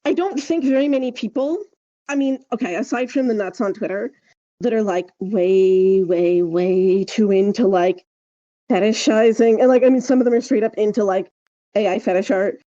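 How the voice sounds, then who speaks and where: A woman's voice: medium pitch, moderate pace, mellow, muffled recording. A woman co-hosting a casual podcast discussion, explaining her points emphatically and often checking with a tag question. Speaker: podcast co-host.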